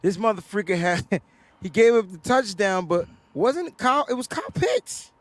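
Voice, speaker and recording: Loud animated man hosting sports talk. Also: high-pitched, moderate pace. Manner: loud, animated, laughs often. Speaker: sports show host. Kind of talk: casual sports talk livestream.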